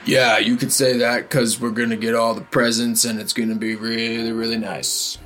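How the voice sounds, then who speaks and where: A man's voice: medium pitch, flat delivery, moderate pace, fairly bright tone, soft background music, lightly acted. Man playing an exasperated, hedging cult raider. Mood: evasive, reassuring. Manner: impatient, hedging, casual slangy talk. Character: gruff, exasperated cult raider, reassuring and evasive.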